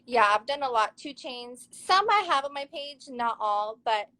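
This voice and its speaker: A young woman from Serbia, speaking English, talks in a high, bright register. She is an upbeat, chatty interview guest who laughs easily and tells her story casually. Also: animated, measured pace, poor audio. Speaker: guest, model and actress.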